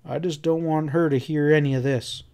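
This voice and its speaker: A man's voice: a little high, fairly even intonation, moderate pace, smooth, natural voice. Man playing a weary, troubled Western cowboy. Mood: confiding. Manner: quiet, rustic Western speech. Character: weary wounded cowboy Pat, grave, troubled, plain-spoken.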